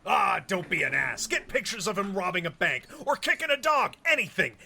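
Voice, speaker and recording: A man playing a brash, greedy, bossy newspaper boss with a raspy voice in a radio-play reading. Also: sits high, very animated, moderate pace, bright, forceful tone, a little hiss or hum, heavily acted. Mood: irritated, scornful. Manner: brash, barking, demanding.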